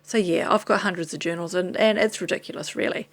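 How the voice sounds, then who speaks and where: Chatty New Zealand woman, slightly hoarse. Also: on the low side, fairly even intonation, quick pace. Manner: rambling, chatty, self-deprecating, drifting off topic. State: tired and losing her voice. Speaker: vlogger. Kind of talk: casual chat showing items to camera.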